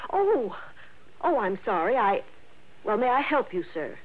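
An American actress playing a flustered, polite office secretary in a 1960s radio drama, hesitant yet insistent. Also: female voice, a little high, very animated, unhurried. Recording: muffled lo-fi vintage radio.

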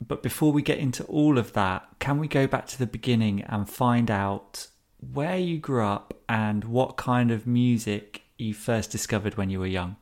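A man's voice: medium pitch, normal speed. A man hosting a podcast, reading a scripted introduction and asking polite, earnest questions. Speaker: podcast host.

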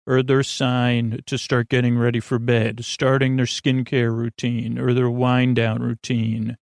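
A man hosting a bedtime podcast in a rambling, halting monologue full of digressions, with a gravelly, creaky voice. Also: medium pitch, little pitch movement, darkish tone, no echo, studio quality. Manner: meandering, halting, full of digressions and trailing thoughts. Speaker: podcast host.

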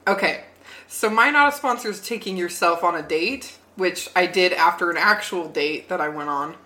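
A young woman co-hosting a podcast, telling a casual story in an animated way and laughing often. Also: on the low side, normal speed. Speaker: podcast co-host. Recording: roomy echo.

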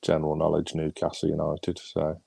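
A young man with a croaky, hoarse voice from a cold, co-hosting a casual podcast with hesitant speech full of ums. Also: rumbling low, monotone, moderate pace, warm tone, no echo. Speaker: podcast co-host. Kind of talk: casual football podcast chat.

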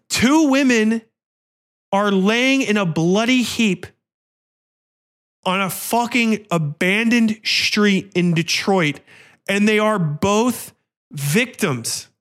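A man co-hosting a podcast, giving an emphatic, opinionated film critique with dramatic, drawn-out phrasing and frequent swearing. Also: sits high, a bit bright. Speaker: podcast co-host reviewing a horror film.

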